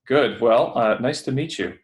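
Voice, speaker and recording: A man's voice: mid-range voice, unhurried. A man from Canada hosting a podcast interview over a video call, chatting casually and rambling with lots of fillers. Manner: rambling, filler-heavy, friendly. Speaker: podcast host. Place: building away from home. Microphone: headphones on a video call.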